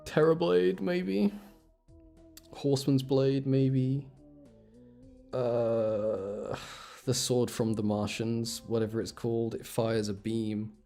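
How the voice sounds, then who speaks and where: A man's voice: medium pitch, steady tone, slow pace, creaky, pauses often, warm tone, soft background music. A young man doing a casual gaming livestream, rambling and thinking aloud as he goes. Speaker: game streamer. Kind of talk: casual gaming stream chat.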